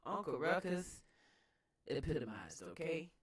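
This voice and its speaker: A man's voice: a little high, big pitch swings, measured pace, husky. An American man giving casual, opinionated commentary as host of a read-aloud, emphatic and slangy, repeating phrases for emphasis.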